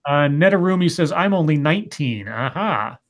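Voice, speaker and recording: A man in his late thirties co-hosting a casual online chat show, reading viewer comments aloud with dry, deadpan reactions. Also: fairly high pitch, moderate pace. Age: late 30s.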